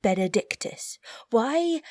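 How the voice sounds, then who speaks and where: An actress playing a sharp-witted young noblewoman who is miserable and stuffed up with a cold, in a Shakespeare radio play. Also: fairly high pitch, animated, slow pace, dry recording, clean studio sound. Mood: miserable, testy. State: miserable, stuffed up, breathy sighs.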